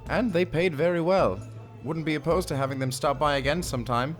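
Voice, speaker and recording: A man's voice: a little high, brisk, faint music behind, close to an everyday voice. A man playing a self-satisfied tiefling tavern keeper in a fantasy audio drama, breezy, playful and smug. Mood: proud, casual.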